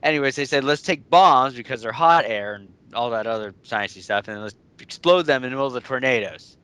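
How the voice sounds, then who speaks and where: A man's voice: mid-range voice, brisk, pushed and bright, clean tone. A man co-hosting a casual chat, with a rambling, informal way of telling things.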